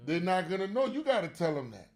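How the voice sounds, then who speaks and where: A man from Haiti hosting an interview, asking questions in a playful, joking, animated way. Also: high-pitched, quick pace. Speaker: interview host, DJ. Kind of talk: casual interview talk.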